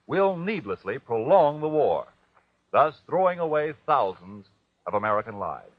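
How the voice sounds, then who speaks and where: A man as an earnest, insistent wartime radio pitchman, exhorting listeners with emphatic rhetorical questions. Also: no room sound. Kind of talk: 1940s radio announcer appeal. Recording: dull, dry old radio recording.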